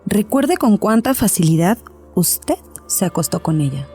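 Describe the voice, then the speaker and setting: A woman's voice: mid-range voice, sing-song, measured pace, warm tone, soft background music. A woman playing a composed, probing and punctilious psychotherapist in a horror audio drama.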